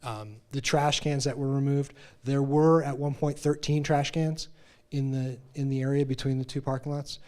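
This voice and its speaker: A middle-aged man with a low voice answers questions from residents at a public meeting. He speaks into a handheld mic and explains things in a low-key way. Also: steady tone, moderate pace, darkish tone. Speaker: city open space official. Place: community meeting room.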